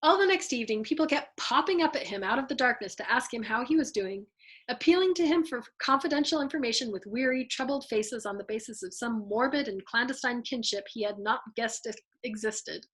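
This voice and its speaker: A woman's voice: a little high, lively intonation, brisk, fairly bright tone. A woman narrating a novel as a storyteller, in an audiobook style. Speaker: storyteller reading a novel aloud.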